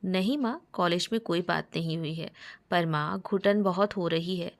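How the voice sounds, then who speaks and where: A woman voicing a troubled young college student in a romance story, polite but quick to indignation. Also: lowish voice, close to an everyday voice.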